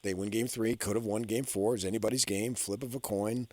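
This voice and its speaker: A man's voice: on the low side, steady tone, a little fast, darkish tone, dry recording. A man hosting sports radio, talking informally and arguing his points in short conversational phrases.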